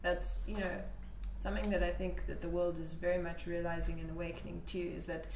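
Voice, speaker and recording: A young woman from Zimbabwe, a startup project coordinator, speaking as an interview guest in a thoughtful, measured way with frequent fillers. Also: on the low side, flat delivery, darkish tone. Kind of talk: casual interview conversation. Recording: echoey room with faint ambient noise.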